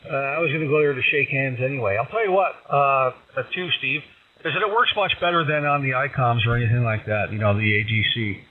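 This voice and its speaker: A man's voice: mid-range voice, fairly quick, narrow, tinny audio. A man chatting casually as a ham radio operator, heard over a radio transmission. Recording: distant, thin, noisy shortwave radio link.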